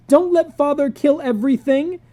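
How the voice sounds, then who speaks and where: Grown-up putting on shrill, whiny spoiled children. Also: moderate pace, mellow, lightly acted. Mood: furious wailing. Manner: wailing, whiny pleading.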